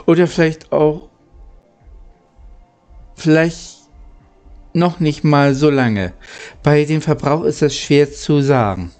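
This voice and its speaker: A man reading the part of a gruff, steady adult locomotive driver in a storybook, grumbling in measured phrases. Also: fairly high pitch, soft background music, pitched above the performer's own voice. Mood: gruff, uncertain.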